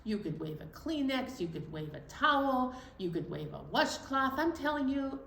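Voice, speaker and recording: A woman with a slightly high, creaky voice talks playfully to young children as a storytime host. Her intonation swings in a lively way and she repeats words for emphasis. The room is echoey, with faint noise. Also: moderate pace. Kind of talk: chatty instructions to young children.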